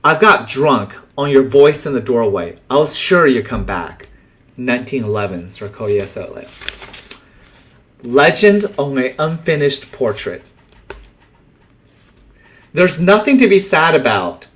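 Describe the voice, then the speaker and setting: A man reading translated poems aloud in a deliberate way, pausing between short phrases. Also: mid-range voice, big pitch swings, fairly bright tone, slight background noise. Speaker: poetry reader.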